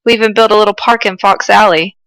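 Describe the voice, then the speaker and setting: A woman's voice: mid-range voice, fairly even intonation, fast talker, fairly bright tone, no echo, lightly acted, poor audio. A woman reading fan fiction as an earnest, ashamed adult male woodchuck who speaks apologetically. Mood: wry. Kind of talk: fan fiction read aloud.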